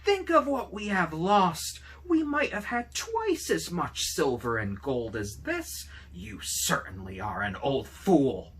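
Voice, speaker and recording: A man voicing a cross, greedy old woman from a fairy tale, scolding loudly in drawn-out words. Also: on the high side, sing-song, a little hiss or hum, voice pushed up, full character voice. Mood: furious, scolding.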